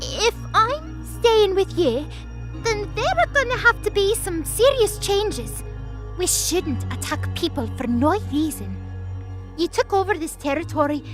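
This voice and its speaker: Woman voicing an earnest, very high-pitched young girl. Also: big pitch swings, measured pace, a bit bright, faint music behind, full character voice. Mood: scolding. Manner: emotional, earnest, insistent. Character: earnest pleading little girl, stubborn and soft-hearted. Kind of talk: audio drama acting.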